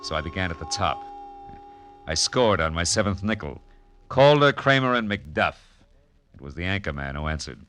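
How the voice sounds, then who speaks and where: A man's voice: sits low, lively intonation, moderate pace, long pauses, a bit bright, faint music behind. An actor playing a tough, wry male private detective in a vintage radio drama, clipped and casually probing. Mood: wry narrating. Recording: old radio drama recording.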